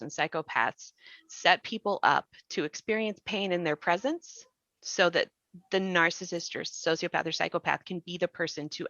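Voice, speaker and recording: A woman's voice: sits low, sharp tone. A woman in a reflective panel discussion, explaining her points with emphasis. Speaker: actor and podcast panelist. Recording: muffled, roomy lo-fi call sound.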